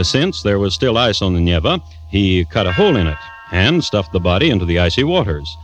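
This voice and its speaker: An actor playing the narrator of an old radio drama, a wry storyteller with a conversational, deadpan manner. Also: male voice, fairly low pitch, poor audio. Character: wry, unhurried male storyteller of a macabre tale.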